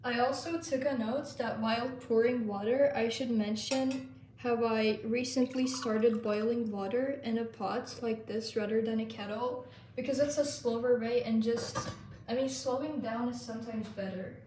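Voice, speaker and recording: A woman's voice: on the high side, fairly even intonation, normal speed, a little mellow, roomy sound, distant mic, slight background noise, rough recording. A young woman, chatting casually in her home kitchen as she bakes, with many fillers. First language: Turkish. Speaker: vlogger. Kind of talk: casual baking vlog narration.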